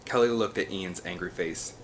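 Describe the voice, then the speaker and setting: A man's voice: low voice, normal speed. Man dramatically reading an adventure story, background noise. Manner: emphatic, theatrical delivery. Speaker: audiobook reader. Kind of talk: dramatic scripted narration of a children's adventure novel.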